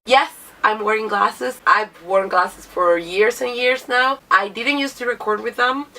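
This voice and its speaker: A woman's voice: fairly high pitch, measured pace, sharp tone, recorded from a distance, faint music behind. A young woman from Chile, a native Spanish speaker, with a cold, chatting casually to camera about books with lots of filler words. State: has a cold. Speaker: book vlogger. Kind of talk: casual vlog monologue.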